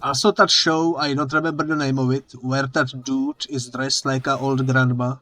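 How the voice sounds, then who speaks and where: A man who is not a native English speaker, playing a game together with a streamer and joking in relaxed, plain speech. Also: mid-range voice, moderate pace. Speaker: co-op guest player. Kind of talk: casual gaming chat.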